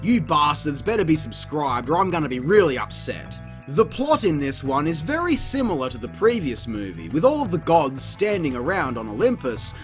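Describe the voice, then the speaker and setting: A man narrating a comedic video review in a sarcastic, exasperated way, with frequent swearing. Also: a little high.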